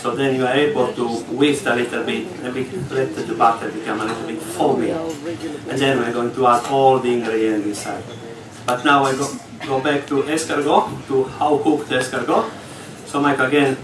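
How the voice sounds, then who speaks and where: Chef, echoey hall from far off. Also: male voice, mid-range voice, moderate pace, crowd noise. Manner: explanatory, slightly broken English phrasing. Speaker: executive chef. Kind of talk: live cooking demonstration. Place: large hall on a ship, in front of an audience.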